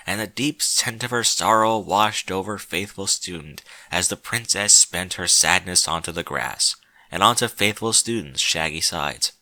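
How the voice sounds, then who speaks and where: A man narrating a story in a slow, solemn, dramatic way with deliberate pauses. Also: on the low side, bright, forceful tone, dry recording.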